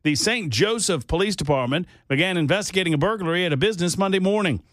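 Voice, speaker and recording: A man's voice: high-pitched, lively intonation, quick pace, a bit bright, no room sound. Jokey male talk radio host. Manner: jokey, mocking asides. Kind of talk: reading news stories on talk radio.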